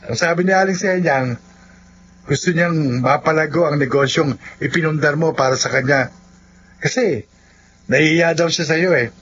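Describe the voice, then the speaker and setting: A man's voice: a little high, moderate pace, pauses often, fairly bright tone, slight background noise, close to an everyday voice. A man with a Filipino accent acting an earnest, loyal young best friend in a radio drama. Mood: cheerful, friendly. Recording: dull lo-fi radio, faint music behind.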